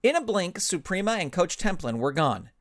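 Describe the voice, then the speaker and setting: A man's voice: sits high, big pitch swings, moderate pace, no echo, studio quality. A man reading scripted dramatic narration as a storyteller. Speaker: author and narrator.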